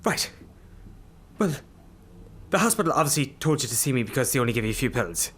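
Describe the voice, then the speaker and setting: A man playing a bumbling, nervous GP, awkwardly over-reassuring, in a dark comedy radio play. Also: mid-range voice, big pitch swings, brisk, slightly rough. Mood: flustered. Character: flustered, bumbling, nervous Irish GP.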